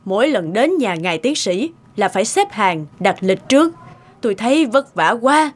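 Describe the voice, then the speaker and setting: A woman's voice: mid-range voice, sing-song, moderate pace. A woman playing a gracious, courteous elderly lady in a cartoon moral tale. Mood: gently teasing. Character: gracious elderly lady, gently teasing grandmother. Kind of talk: animated moral tale dubbing.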